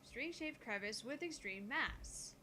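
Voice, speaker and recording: A woman voicing a calm, gutsy teenage genius girl who lectures on physics in a visual novel. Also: on the high side, slow, slight background noise, heavily acted. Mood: explanatory. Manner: explanatory, lecturing.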